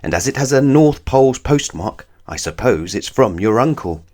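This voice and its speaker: A man's voice: medium pitch, lively intonation, moderate pace, darkish tone, voice pushed up, close to an everyday voice. A man voicing a chatty, affable postman in a casual, neighbourly way for a children's storybook. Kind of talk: children's storybook read-aloud.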